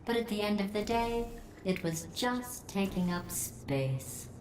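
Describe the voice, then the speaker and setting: An actress voicing a cold, sarcastic female facility AI in a video game, with a deadpan, passive-aggressive delivery. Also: medium pitch, unhurried, slight background noise, lightly acted. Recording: echoey game audio with music.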